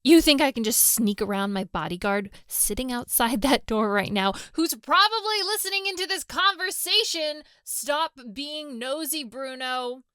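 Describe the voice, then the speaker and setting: Actress as hot-tempered mafia princess, high voice. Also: female voice, expressive, normal speed, few pauses, a bit bright, no room sound, studio quality. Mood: frustrated, bossy. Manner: scolding, exasperated, with sighs and breathy asides. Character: hot-tempered, lovestruck young daughter of a mafia boss. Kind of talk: girlfriend roleplay audio drama.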